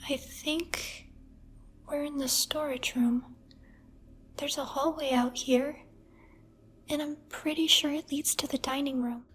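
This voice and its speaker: A woman's voice: high voice, steady tone, takes it slowly, pauses often, a bit bright. A woman playing a teenage girl exploring an abandoned place in an audio horror drama. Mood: hushed, tentative.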